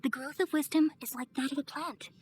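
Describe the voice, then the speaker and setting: A woman playing a serene, wise and motherly goddess in an anime-style video game, speaking gently and solemnly. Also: high voice, steady tone, a little fast, slight background noise, lightly acted. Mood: gentle, reassuring. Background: music.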